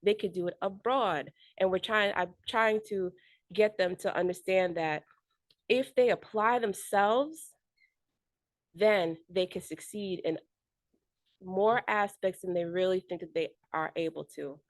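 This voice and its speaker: A woman's voice: medium pitch, unhurried, no echo. A woman in her forties speaking as a school board candidate at a neighborhood meeting. She gives an unprepared, earnest speech that halts often with ums and restarts.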